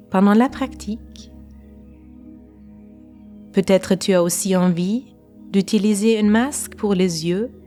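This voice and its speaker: Slow-paced woman guiding meditation, mellow voice. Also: medium pitch. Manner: calm, unhurried, pausing between short phrases. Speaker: guided meditation teacher. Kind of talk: slow guided relaxation meditation. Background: faint music.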